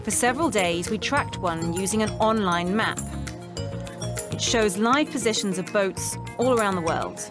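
A woman delivers scripted documentary narration as a news reporter. Her pitch sits in the middle range for a woman, and music plays clearly behind her. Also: expressive, moderate pace. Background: background music.

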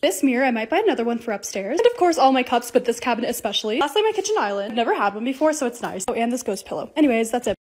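Young woman, vlogger, high voice, very lively pitch, slightly raspy, gushing. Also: racing, few pauses. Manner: bubbly, excitable, gushing. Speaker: lifestyle vlogger. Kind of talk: casual vlog voice-over.

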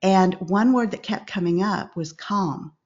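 An older woman, a business coach, speaking calmly and instructively as a guest in a video-call interview. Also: mid-range voice. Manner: calm, measured and instructive. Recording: slightly dull video-call audio.

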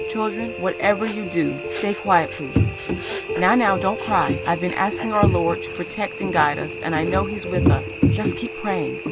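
A woman's voice: mid-range voice, a little slow, loud music behind the voice, lightly acted, rough recording. An actress playing a frightened, devout mother on the run, pleading and praying in an audio drama. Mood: anxious, pleading. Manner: pleading, hushed, prayerful. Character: frightened, devout enslaved mother fleeing with children.

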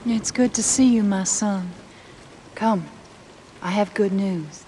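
Serene, manipulative middle-aged cult matriarch, played by an actress, solemn game cutscene delivery, faint background noise. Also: female voice, mid-range voice, takes it slowly, dark tone, lightly acted, poor audio. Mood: solemn, persuasive. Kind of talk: scripted game cutscene acting.

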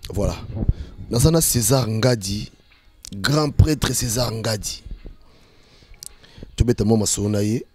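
A man's voice: lowish voice, unhurried, long pauses, music in the background. A middle-aged man with a Congolese accent, hosting a casual interview on a handheld microphone. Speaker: interviewer, host. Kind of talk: casual interview, switching between Lingala and French. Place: indoors on a sofa.

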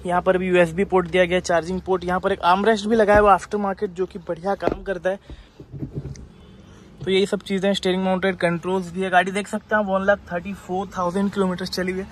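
A man presents a used car as its dealer. His sales patter is persuasive but flat in melody, sprinkled with English terms. Outdoor and vehicle noise sits faintly behind him. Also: sits high, quick pace. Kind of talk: unscripted sales walk-around. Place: outdoors by a roadside lot and inside a car.

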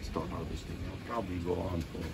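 Low-voiced Lebanese-born man in his sixties. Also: level tone, quick pace. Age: 60s. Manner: plain-spoken, self-mocking, rambling with asides. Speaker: winemaker hosting a tasting. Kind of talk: casual talk to visitors. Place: outdoor patio of a hillside winery. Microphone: phone camera a few meters away. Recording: open-air phone recording with background noise.

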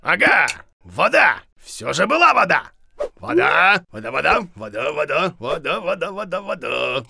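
Blustery, gravelly cartoon grandpa creature. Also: male voice, fairly high pitch, very animated, pushed and bright, exaggerated voice. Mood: fretful. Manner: bumbling, boastful, exclamatory, with grunts and drawn-out words. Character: blustery, clueless bearded old grandpa creature, self-styled teacher. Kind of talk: children's educational cartoon acting. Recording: music behind the voice.

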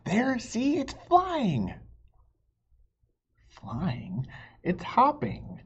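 A man voicing stubborn, childlike bickering friends from a picture book, excitable and exaggerated. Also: sits high, extremely slow, breathy edge, muffled recording, voice pushed up, full character voice. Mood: excited bickering. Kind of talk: children's picture-book dialogue read aloud.

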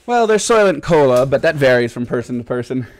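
Joking lively-voiced man gaming. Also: mid-range voice, a little fast, slight background noise. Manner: joking, rambling, lots of "like". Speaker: gamer. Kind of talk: casual co-op game chat.

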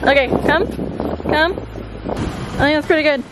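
A woman's voice: sits high, a little slow, low-quality recording. A young adult woman, chatting casually as a companion on a trip. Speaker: vlogger's travel companion. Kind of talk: casual chat.

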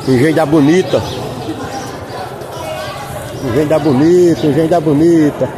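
A man's voice: a little high, steady tone, normal speed. A man filming a casual walking vlog at an outdoor market, chatty and repeating his phrases like a hawker. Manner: chatty, hawker-like repetitions, calls people "pai". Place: outdoor covered street market. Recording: busy market crowd behind.

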